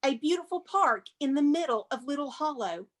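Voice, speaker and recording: An actress playing a museum curator who narrates screenplay stage directions aloud. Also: female voice, sits high, animated, brisk. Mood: flustered, improvising.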